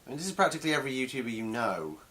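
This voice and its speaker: A man's voice: medium pitch, big pitch swings, racing, bright, forceful tone. A young Englishman chatting casually at home into a camera mic, cutting in with dry, teasing remarks. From England. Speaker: co-host partner. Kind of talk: casual chat. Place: home room.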